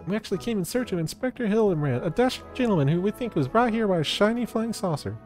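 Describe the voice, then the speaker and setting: A man's voice: sits high, lively intonation, quick pace, darkish tone, faint music behind, pitched above the performer's own voice. A man voicing an earnest, polite and loyal young female companion in a video game. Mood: indignant.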